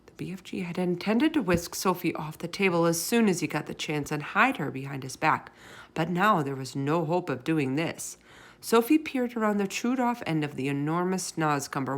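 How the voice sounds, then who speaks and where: A woman reading a children's story aloud as an expressive narrator. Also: on the low side, normal speed.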